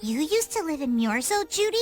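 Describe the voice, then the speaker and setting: A woman's voice: sits high, very animated, a little fast, faint music behind. A woman voicing a perky, curious little girl in a video game, chirpy and inquisitive.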